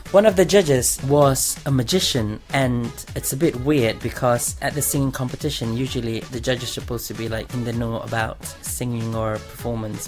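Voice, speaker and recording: A man in his forties from Malaysia, a native Malay speaker, reading prepared interview answers in a careful, slightly formal way. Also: medium pitch. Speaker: interview guest, singer. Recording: music playing underneath. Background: music.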